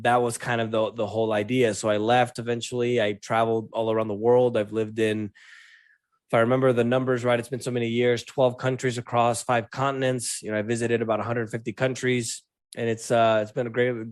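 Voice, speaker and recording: A man in his late thirties talks as a podcast guest in a casual, storytelling way. His pitch is average for a man. The sound is very dry, with no room echo. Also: little pitch movement, brisk. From born in Venezuela, considers himself American. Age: late 30s.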